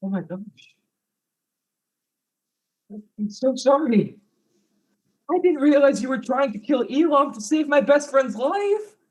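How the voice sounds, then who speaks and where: A man's voice: very high voice, expressive, moderate pace, poor audio. A man acting an insecure, jealous and goofy fiancé in a darkly comic mystery play, earnest and flustered. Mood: shocked, flustered.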